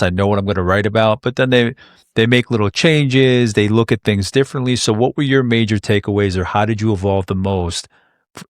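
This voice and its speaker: A man's voice: lowish voice, no echo, studio quality. A male podcast host interviewing a guest, asking long questions that he keeps rephrasing.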